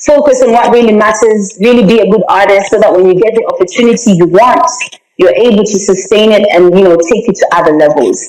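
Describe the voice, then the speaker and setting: A woman's voice: medium pitch, quick pace, darkish tone. Upbeat encouraging woman in an echoey room. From Malawi. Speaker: guest, music program founder. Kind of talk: podcast conversation. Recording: roomy echo.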